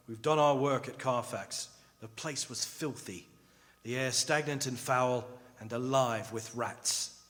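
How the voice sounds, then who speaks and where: An earnest young husband and vampire hunter, acted by a man in old-time radio style. He speaks at an average pitch in terse diary phrases. Long hall reverb marks it as a live stage recording. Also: fairly bright tone, close to an everyday voice.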